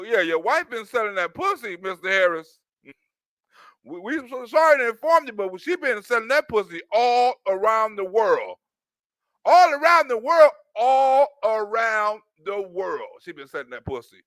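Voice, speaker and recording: A man riffs through comic commentary, laughing often and slipping into quick acted-out scenes. His voice sits high and swings widely, with a bright, sharp edge. The sound is close and dry. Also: dry recording. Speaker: streamer reacting to a news clip. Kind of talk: animated comedic commentary.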